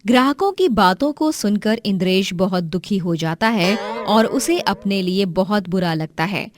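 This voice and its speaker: A woman with a medium-pitched voice narrates a scripted moral tale. She tells it as a storyteller for a children's cartoon. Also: lively intonation, a little slow, crowd noise.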